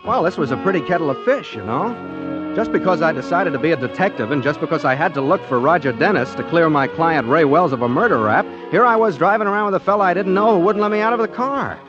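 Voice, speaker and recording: Actor as affable, high-pitched, animated radio detective. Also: male voice, fast talker, lightly acted. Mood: wry narration. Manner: mild, polite, scatterbrained, hesitant. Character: affable, naive amateur private detective, male army veteran. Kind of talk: 1940s radio detective comedy acting with narration. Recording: muffled vintage 1940s radio recording, music behind.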